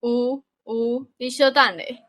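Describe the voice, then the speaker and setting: A woman's voice: a little high, fairly even intonation, slow pace, a bit bright. A woman acting a kind, cheerful young country lad in a radio drama, with a Taiwanese accent and an eager, caring manner. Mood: concerned.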